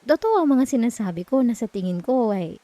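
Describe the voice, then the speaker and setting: A woman with a Filipino accent playing a sweet, teasing, comforting girlfriend in a soft, intimate ASMR roleplay. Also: on the high side, sing-song, fairly quick, dry recording. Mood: gentle, sincere. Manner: soft, tender, playful, soothing.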